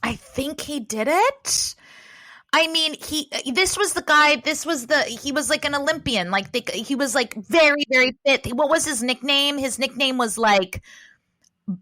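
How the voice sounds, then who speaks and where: A woman guest chatting animatedly on a podcast, loud and rambling, with many fillers, speaking close to her microphone. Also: high voice, unhurried, dry recording. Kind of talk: casual chat. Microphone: close to a desk microphone.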